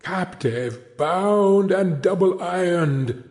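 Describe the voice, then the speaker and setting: Give me a man doing a grieving ghost in chains for a read-aloud storybook, solemn and declaiming, lifting to a higher voice. He drags out every word slowly. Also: animated, a little mellow, exaggerated voice. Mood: mournful warning. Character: anguished chained ghost of an old businessman.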